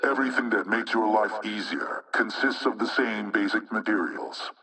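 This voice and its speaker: An actor playing an anonymous male hacktivist spokesman in a video game propaganda broadcast, speaking in a measured, rhetorical, insinuating way with menace. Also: on the low side, expressive, recorded from a distance, heavy background noise. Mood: accusing.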